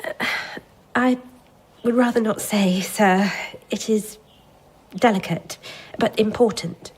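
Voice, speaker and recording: An actress playing a respectful young pupil in an audio drama, speaking shyly and with deferential hesitation. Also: female voice, medium pitch, fairly even intonation, slow, darkish tone, breathy edge, poor audio. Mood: hesitant.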